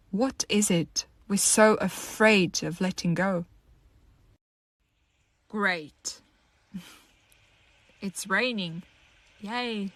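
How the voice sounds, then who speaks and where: A woman playing a troubled, lonely young woman in an audio monologue, halting, wry and conversational as she muses bitterly. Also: on the low side. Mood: bitter, downcast. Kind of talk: dramatic monologue, audio drama.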